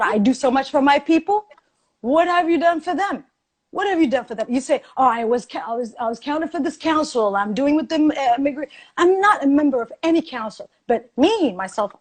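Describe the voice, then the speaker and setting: Woman debater, passionate and insistent, slightly high voice, repeats for emphasis. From Ethiopia. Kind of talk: heated debate.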